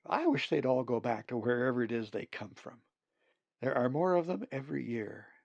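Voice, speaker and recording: A man's voice: mid-range voice, very animated, moderate pace, creaky, dry recording, dull audio. A man voicing an opinionated, indignant, cautious female raven in a storybook, emphatic and disapproving. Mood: bitter.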